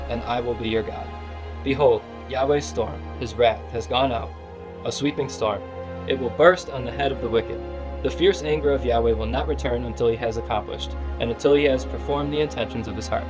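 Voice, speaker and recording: Male scripture reader, mid-pitched, with clear music underneath. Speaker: Bible reader. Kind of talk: scripture reading.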